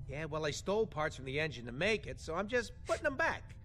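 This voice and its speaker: A grumpy raccoon mechanic in a video game, brash and sarcastic, with a wisecrack always ready. His voice sits fairly high and swings up and down with lively inflection. Faint game music plays behind him. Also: fast.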